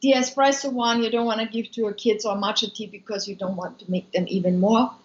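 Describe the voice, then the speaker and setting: A woman's voice: on the high side, steady tone, fairly quick. A woman from Austria with an Austrian German accent, chatting loosely on a live stream, answering viewer comments with many ums. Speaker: food maker and business owner. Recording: echoey room, dull lo-fi sound.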